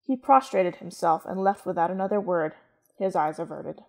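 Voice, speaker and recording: A woman narrating a scripted story in a measured, literary manner. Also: fairly low pitch, expressive, darkish tone.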